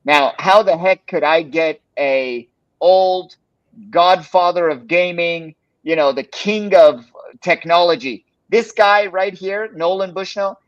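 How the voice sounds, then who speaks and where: A man, casually presenting a pitching workshop on a live stream, with plenty of "uh" and "you know.". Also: takes it slowly, no echo, muffled recording. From Los Angeles, United States. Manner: relaxed and conversational, with frequent "uh" and "you know".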